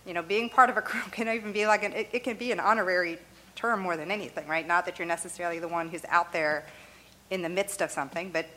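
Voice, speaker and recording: A woman's voice: on the low side, fairly even intonation, fast, bright, forceful tone, low-quality recording. A woman whose first language is Arabic, explaining her views in a loose, conversational way with frequent "you know" and "right?" and light laughs. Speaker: interviewee.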